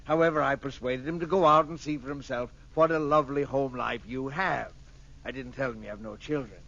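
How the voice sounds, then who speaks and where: An actor playing a blustering, bossy older boss in a 1940s radio comedy, hearty and overbearing. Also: male voice, medium pitch, fairly even intonation, fairly quick, vocal fry, a bit bright. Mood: confiding. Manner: blustery, tags sentences with a hearty "boy". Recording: muffled, hissy old radio recording.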